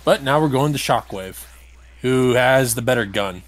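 Man, medium pitch, casual gameplay commentary, blunt, grumbling, conversational. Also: normal speed. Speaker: gamer playing and commentating.

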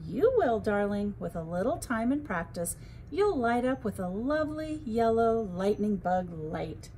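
Storybook mother firefly, voiced by a woman reader: gentle, reassuring, mellow, unhurried. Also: mid-range voice, very animated, slight background noise. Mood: encouraging.

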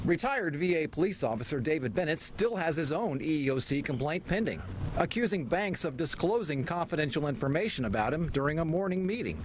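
An American man in his forties narrates an investigative TV news report from a script. His voice sits a little high for a man, with faint noise behind it. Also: animated, brisk.

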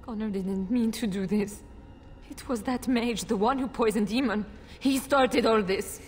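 A woman's voice: a little high, unhurried, a little mellow, close to an everyday voice. An actress playing a frightened noblewoman in a fantasy game, a mother who speaks hesitantly and pleadingly. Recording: reverberant stone hall, faint noise.

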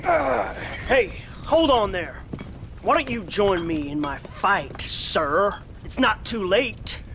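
A cranky old American shopkeeper from a Western game. His voice is high and sharp, and he lectures slowly with paranoid, self-righteous conviction. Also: expressive, gravelly, slight background noise, exaggerated voice. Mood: defiant. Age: older. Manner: ranting, righteous, folksy. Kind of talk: video game cutscene acting.

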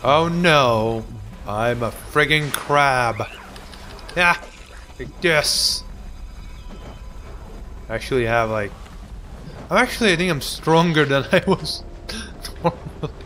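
A man's voice: on the high side, lively intonation, takes it slowly, long pauses, pushed and bright, soft background music. A young man giving casual, sarcastic let's play commentary, joking and ranting about the game. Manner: goofy, sarcastic, hums and mock voices.

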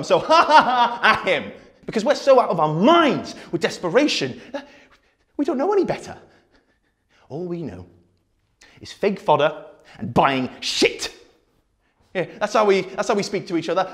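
An actor playing a desperate, furious man raging at his audience in a halting, repetitive dramatic monologue. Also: high-pitched. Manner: halting, repeating, swearing, building to outbursts.

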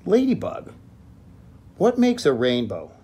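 Man reading as a high, curious little rabbit. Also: huge pitch swings, takes it slowly, warm tone, full character voice. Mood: curious, eager. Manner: questioning, emphatic, sing-song. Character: curious young male rabbit asking eager questions. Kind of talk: children's picture book read-aloud. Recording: clear background room noise, phone recording.

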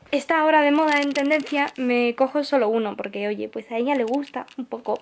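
A woman's voice: high voice, slight background noise. A young woman with a Castilian Spanish accent chatting casually and effusively to camera in a small apartment. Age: young adult. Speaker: lifestyle vlogger. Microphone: handheld camera or camera on desk, close range.

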